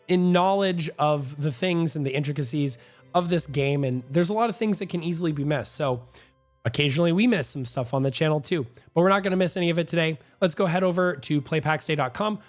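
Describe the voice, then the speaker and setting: A young man hosts a gaming news show, his slightly high voice hoarse and nasal from a cold. He talks in an upbeat, confident, chatty way, and faint music plays under his voice. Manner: upbeat, talkative, self-assured. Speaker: gaming channel host. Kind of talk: energetic gaming news commentary.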